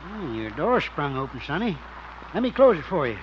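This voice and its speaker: An actor playing a slow, folksy, oblivious old man in a 1950s radio comedy, with a thin voice and a drawling, chortling manner. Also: on the high side, sing-song. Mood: amused. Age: older. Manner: drawling, chortling at his own jokes. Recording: muffled, noisy old radio recording.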